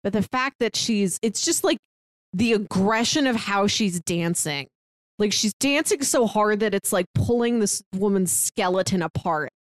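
A woman's voice: medium pitch, animated, a little slow, no room sound. A woman co-hosting a casual film podcast, talking eagerly with frequent "like" fillers. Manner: enthusiastic, lots of "like". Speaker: podcast co-host.

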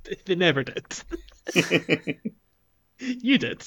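A man's voice: sits high, very animated, very slow, pushed and bright, breathy edge, soft background music. A man co-hosting a podcast, chatting casually about a video game. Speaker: podcast host.

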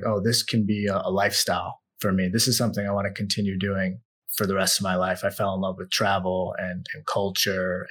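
A man from the United States, a podcast guest, telling his life story in a relaxed, reflective way and dropping low and soft as he muses. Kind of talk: storytelling in an interview.

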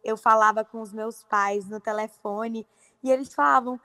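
A Brazilian woman, an actress, talking in an interview with a Brazilian Portuguese accent, lively and expressive. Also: a little high, moderate pace, no echo. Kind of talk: interview answers.

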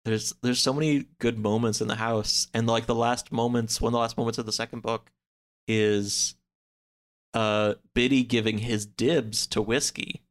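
A man's voice: medium pitch, flat delivery, normal speed. A man chatting casually as a podcast guest, recounting a story in a loose, rambling way peppered with "like". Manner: rambling, loosely pieced-together telling, peppered with "like".

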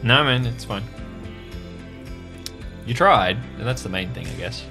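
A man playing a dejected, self-blaming young worker in an improvised audio drama, talking in a halting, defeated way. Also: on the low side, lively intonation, creaky, music in the background. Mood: resigned.

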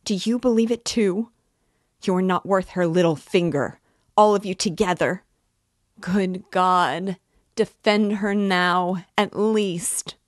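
A woman reading an audiobook voices a frantic, desperate widow who cries out and pleads in a rising, shrill voice. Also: slow pace, dry recording, clean studio sound, natural voice. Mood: wailing. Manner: crying out, pleading, rising into shrieks. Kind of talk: audiobook character voice.

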